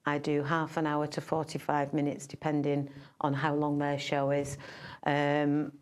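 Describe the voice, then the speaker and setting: Low, flat-voiced chatty woman singer. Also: measured pace, smooth. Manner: chatty, rambling, many fillers and restarts. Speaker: entertainer and singer. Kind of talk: casual interview chat.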